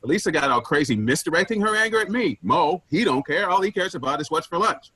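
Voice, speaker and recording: A man playing a pushy, penny-pinching office boss in a staged dark comedy, blunt and self-important as he lectures. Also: fairly high pitch, expressive, brisk, breathy edge, no room sound, full character voice. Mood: callous, flippant.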